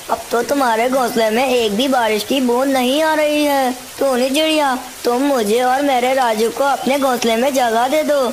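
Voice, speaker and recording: A woman's voice: high-pitched, a little hiss or hum, natural voice, poor audio. A woman voicing a needy, envious male crow in a cartoon story, exclamatory and pleading in manner. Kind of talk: Hindi cartoon story dialogue.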